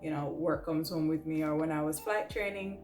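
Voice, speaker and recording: A young woman talks casually, as if to viewers of her vlog. Her voice sits a little low and she stresses her points conversationally. The room adds noticeable echo, and light music plays underneath. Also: steady tone, a little fast, darkish tone. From Jamaica. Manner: conversational, emphatic, ends points with "right?". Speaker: vlogger, air traffic control trainee and pilot. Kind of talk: casual presenting to camera.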